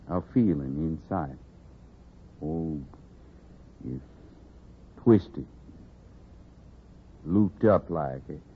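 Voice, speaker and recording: Low-voiced condemned Western outlaw. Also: male voice. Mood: troubled, wistful. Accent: American Western. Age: 30s. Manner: folksy, slow, brooding. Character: condemned outlaw, thirty-six, bitter, regretful, unschooled. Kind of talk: 1950s radio Western acting. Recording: tinny, hissy 1950s radio recording.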